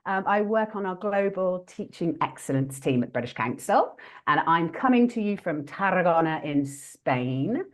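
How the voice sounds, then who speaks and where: A woman hosts an interactive online teaching session. Her voice sits low but swings widely in pitch. She talks in a friendly, lively way, with plenty of fillers and self-corrections. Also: normal speed. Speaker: workshop host.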